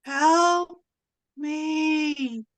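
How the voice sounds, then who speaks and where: A woman's voice: high voice, fairly even intonation, very noisy. A woman playing a terrified buried victim, crying out wildly for help in an old-time radio drama. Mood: terrified, desperate. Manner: wild, drawn-out crying out.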